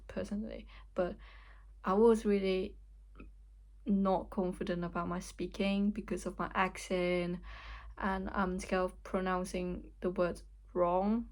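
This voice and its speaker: A young woman from Hong Kong with a Hong Kong English accent, Cantonese her first language, talking candidly and hesitantly in a casual vlog over a weak mic. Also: mid-range voice. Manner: candid, hesitant, many restarts. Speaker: vlogger. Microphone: weak mic, words hard to catch.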